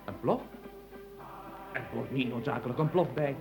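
A man's voice: mid-range voice, moderate pace, music in the background, lightly acted. An actor playing a prickly, officious, literal-minded man in an absurdist radio play, speaking formally and insistently with precise enunciation. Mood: matter-of-fact. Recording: faintly noisy old radio recording.

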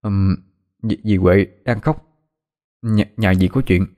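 A man voicing a gentle, anxious older sibling with a Southern Vietnamese accent, speaking hesitantly in an audio story. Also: on the low side, fairly even intonation, slow pace. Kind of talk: dramatised audio story narration.

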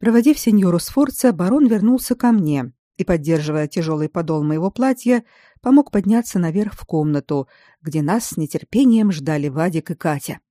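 A woman narrating a first-person audiobook at a steady, even pace on a close studio mic. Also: on the low side, normal speed, dry recording.

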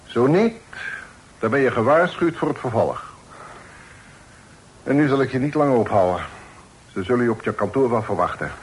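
Man acting strict father, mid-pitched, firm formal delivery, old radio drama with faint noise. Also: lively intonation, normal speed, pauses often, lightly acted, low-quality recording. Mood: stern. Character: stern, commanding father.

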